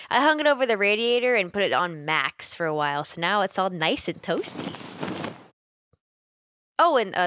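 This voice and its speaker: A woman playing a caring, playful young female roommate who gently coaxes and teases her friend in an intimate ASMR roleplay. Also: mid-range voice, no room sound, clean studio sound. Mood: playful, teasing. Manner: playful, gently coaxing, giggly, hesitant. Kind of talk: ASMR roleplay, intimate one-sided conversation.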